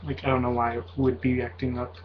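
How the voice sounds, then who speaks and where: Young man, casual vlog ramble, mid-pitched voice, slightly muffled audio, faint hiss. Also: completely flat delivery, quick pace. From Mexican heritage. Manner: rambling, hesitant, many fillers and self-corrections. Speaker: video blogger.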